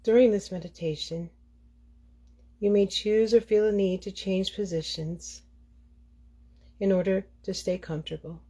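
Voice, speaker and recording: A woman leading a guided meditation as the guide, speaking in deliberate phrases with frequent pauses. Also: medium pitch, fairly even intonation, warm tone, breathy edge.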